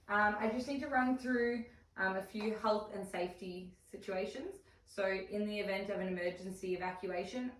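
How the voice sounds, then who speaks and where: A woman leading a small first aid class as trainer, speaking in an affirming, encouraging way. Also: medium pitch. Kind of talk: small group class instruction. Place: indoor room, small group class. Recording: echoey room, distant mic, faint noise.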